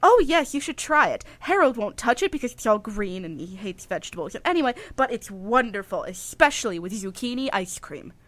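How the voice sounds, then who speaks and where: A woman's voice: mid-range voice, sing-song, a little fast, few pauses, fairly bright tone, no room sound. A woman acting an earnest, rambling, paranoid housewife in a radio comedy. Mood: earnest, insistent. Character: dotty, paranoid housewife convinced vegetables are alien invaders.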